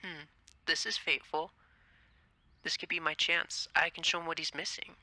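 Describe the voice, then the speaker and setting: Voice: medium pitch, little pitch movement, moderate pace, bright, forceful tone, no room sound. A man voicing a scheming young female gray wolf, confident and covetous, musing in a screenplay reading.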